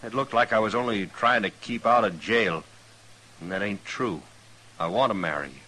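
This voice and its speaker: An actor playing an impulsive, lovestruck outlaw trying to reform in an old radio western, earnest, halting and folksy. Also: male voice, fairly low pitch, pushed and bright. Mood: hesitant, earnest. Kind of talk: old-time radio western drama acting. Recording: noisy vintage radio recording.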